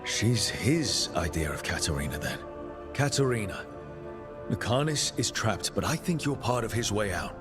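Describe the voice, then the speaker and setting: An actor playing a loyal, resolute video game hero, speaking in an earnest, reassuring manner. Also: male voice, on the low side, very animated, normal speed, over music. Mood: calm, firm. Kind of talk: video game character acting.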